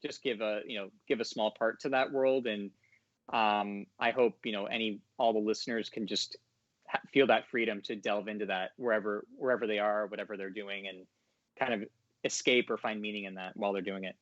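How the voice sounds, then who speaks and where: A man's voice: fairly low pitch, fairly even intonation, brisk, a bit bright, dry recording. A man rambling casually, hesitating and stammering as he searches for his words. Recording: dull, very dry audio.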